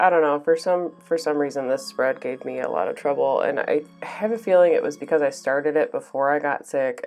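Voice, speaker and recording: Brief: young female art vlogger, low voice, stuffy nasal cold, chatty and rambling, soft music under her. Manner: chatty, many ums and small laughs. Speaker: art channel host. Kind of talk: casual voice-over while painting.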